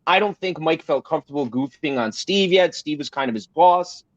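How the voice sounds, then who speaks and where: A man with a fairly high voice chats as a podcast co-host. His delivery is emphatic and rambling, with some profanity. The sound is a little dull. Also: expressive, moderate pace. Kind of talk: casual podcast chat. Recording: roomy, slightly dull sound.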